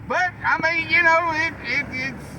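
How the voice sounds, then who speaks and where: High-pitched man, distant and noisy. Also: unhurried, sharp tone. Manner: rambling, folksy, repeats phrases. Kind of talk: casual chat. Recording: distant mic, noisy outdoor recording.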